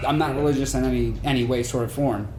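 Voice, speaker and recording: A man playing a tabletop game, improvising casual in-character chat in a halting way that repeats words. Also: mid-range voice, fairly even intonation, over music. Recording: roomy with faint noise.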